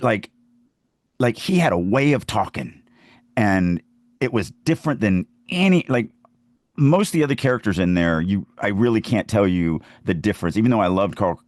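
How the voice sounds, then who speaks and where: Male writer-podcaster, notably low voice, dry close sound, conversational and emphatic. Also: no room sound. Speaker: writer co-hosting a writing podcast. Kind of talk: casual conversational writing discussion.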